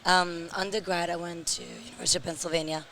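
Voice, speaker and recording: A middle-aged woman from Europe, hoarse and tired-voiced, answering interview questions slowly and in a measured way. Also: fairly low pitch, flat delivery, pushed and bright, a little hiss or hum, rough recording. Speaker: interview guest, company founder. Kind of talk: casual interview.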